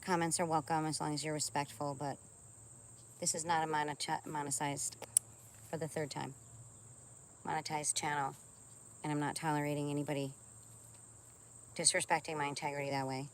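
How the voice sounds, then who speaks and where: A woman live streamer talking informally to her viewers in a halting, repetitive, insistent way. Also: low-pitched, flat delivery, slight background noise.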